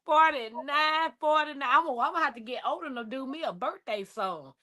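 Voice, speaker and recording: Woman in her forties, bright, slightly high voice, playful livestream host. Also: brisk. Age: 40s. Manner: playful, laughing, Southern-style slang. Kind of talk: casual livestream chat.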